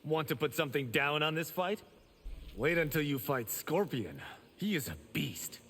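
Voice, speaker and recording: A man voicing a brash, sociable young fighter in a video game, with an animated, cocky delivery. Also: on the high side, moderate pace, heavily acted. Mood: cocky, friendly. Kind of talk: video game character acting. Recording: long hall reverb, faint noise.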